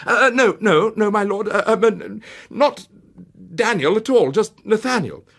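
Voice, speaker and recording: An actor playing a nervous, timid gentleman witness who stammers with hesitant deference. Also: sits high, expressive, measured pace, creaky, pitched above the performer's own voice, full character voice. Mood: flustered.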